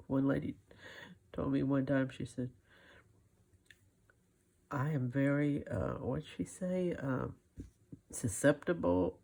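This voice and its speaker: A woman's voice: very deep voice, slow, vocal fry, pauses often, dark tone, husky. An older woman giving a casual hand-stitching tutorial, thinking aloud in a folksy, rambling, muttering way. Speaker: craft video host.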